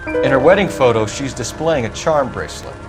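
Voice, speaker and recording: An actor playing an earnest 1940s police detective who asks measured, courteous questions. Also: male voice, mid-range voice, lively intonation, over music, close to an everyday voice, low-quality recording. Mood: probing. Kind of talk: game cutscene voice acting.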